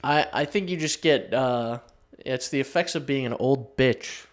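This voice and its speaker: A man's voice: mid-range voice, unhurried. Male, early twenties, mid-range voice. Podcast banter, slangy, foul-mouthed, joking. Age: early 20s. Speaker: podcast co-host. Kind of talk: casual banter with a friend.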